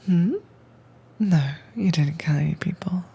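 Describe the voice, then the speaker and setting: A woman's voice: on the low side, unhurried, dark tone. Woman whispering as a cool, teasing captor. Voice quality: breathy whisper. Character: calm commanding woman agent taming werewolf. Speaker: audio drama voice actress. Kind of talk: soft-spoken whispered roleplay audio.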